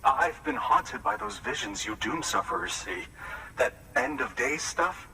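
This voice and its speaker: A jittery geologist, super lively bright voice, sounds kinda far off, light hiss. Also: male voice, fairly high pitch, gravelly, soft background music, heavily acted. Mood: worried. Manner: nervous, rattled, fast-talking. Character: anxious, overworked male field scientist. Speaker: geologist character.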